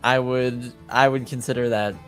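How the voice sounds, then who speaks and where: A man improvising narration as a game master, hesitant and often pausing to find his words. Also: medium pitch, fairly even intonation, fairly bright tone, faint music behind.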